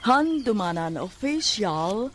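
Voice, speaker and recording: A woman's voice: mid-range voice, sing-song, takes it slowly. A woman with a Cebuano Filipino accent reading a scripted introduction as a radio drama announcer. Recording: studio voice over faint music.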